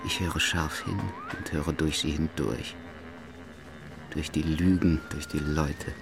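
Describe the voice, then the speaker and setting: An actor playing a brooding, paranoid man in a theatrical monologue, speaking with halting, conspiratorial intensity about lies all around. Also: a bass voice, steady tone, noisy background, exaggerated voice.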